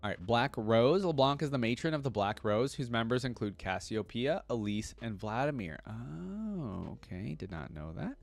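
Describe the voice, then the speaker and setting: A man with a fairly low voice reads character lore aloud in a casual reaction video. He keeps stopping to add eager, off-the-cuff comments. The sound is close and dry, with no room in it. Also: no room sound. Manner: reads wiki text then breaks into excited asides. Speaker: reaction channel host.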